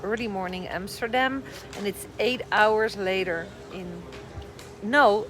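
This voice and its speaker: A woman's voice: mid-range voice, slow, over music. A middle-aged woman from the Netherlands with a Dutch accent, chatting on a casual live stream, a little short on sleep and a bit scattered. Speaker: vlogger giving advice. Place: airport departure area. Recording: noisy airport live stream. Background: airport ambience.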